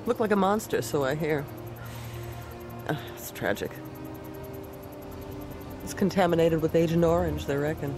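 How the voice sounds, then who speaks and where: An actress playing an imperious, manipulative commander's wife in an audio drama, haughty and theatrical. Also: female voice, low-pitched, normal speed, pauses often, music in the background, natural voice. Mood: gossipy, gloating.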